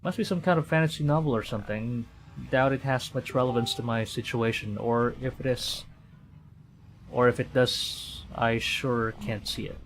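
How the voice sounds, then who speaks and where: A man giving casual, halting live game commentary and reading story text aloud. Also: medium pitch, a little hiss or hum, low-quality recording. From Philippines. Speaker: streamer.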